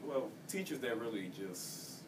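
An eighteen-year-old male student answering interview questions casually, a little halting. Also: on the low side. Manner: casual, opens with "well", a bit halting. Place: office computer room, indoors. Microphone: distant camera mic. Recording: distant mic with steady hiss.

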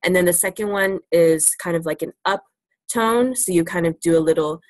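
A woman's voice: fairly low pitch, normal speed, no room sound. A young woman presenting to students over a video call, talking casually with lots of ums and likes. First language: English. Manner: easygoing, many ums and likes. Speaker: student presenter teaching about China. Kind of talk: casual virtual classroom Q&A. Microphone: laptop webcam video call.

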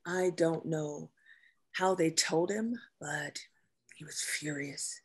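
A woman acting a grieving, guarded female naval officer and caretaker at a screenplay table reading, with measured, halting delivery. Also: deep voice, breathy edge, heavily acted. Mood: sombre recollection.

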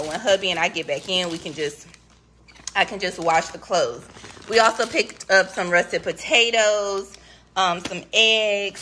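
Chatty woman with a bright voice. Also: mid-range voice. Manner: chatty, talks straight to viewers, says y'all often. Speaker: vlogger doing a grocery haul. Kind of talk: casual chat to camera. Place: kitchen at home, indoors. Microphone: handheld camera, talking from behind it.

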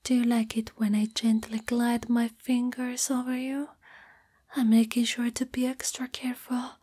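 A woman voicing a shy, caring spider monster girlfriend in a gentle, intimate, mostly whispered ASMR roleplay. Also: on the high side, flat delivery, normal speed, slightly rough. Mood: tender, careful. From Italy.

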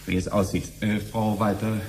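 An actor playing a fussy, officious middle-aged bureaucrat in a radio drama, pausing and hesitating as he questions. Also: fairly low pitch, little pitch movement, a little mellow, lightly acted. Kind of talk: 1970s German radio drama acting. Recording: slightly noisy old radio drama recording.

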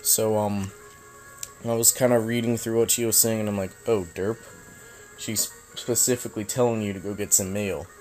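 A young man casually commenting while he plays a video game, rambling and self-mocking, his voice slightly raspy from a cold. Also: fairly low pitch, steady tone, normal speed, darkish tone, soft background music. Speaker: let's play host.

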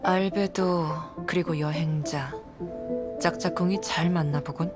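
An actress voicing a cool, dismissive young female nun in a game, curt and detached, with loud music behind. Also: on the low side, fairly even intonation, unhurried, natural voice. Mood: aloof. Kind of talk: game voice acting.

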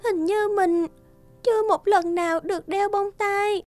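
A woman's voice: extremely high pitch, fairly even intonation, a little slow, a little mellow, soft background music. A woman voicing a kind, wistful orphan girl in a children's cartoon.

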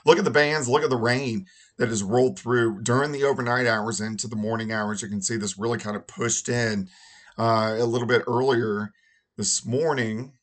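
A hoarse man in his forties, a meteorologist giving a relaxed, conversational weather forecast from a home office. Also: medium pitch, normal speed.

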